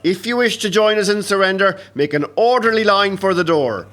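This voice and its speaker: Higher-voiced resigned Irish rebel officer acted by a man. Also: a little fast, fairly bright tone. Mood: resigned advice. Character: Irish rebel officer, resigned, dutiful, encouraging his men. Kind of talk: historical audio drama acting. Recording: faint noise, crowd ambience behind.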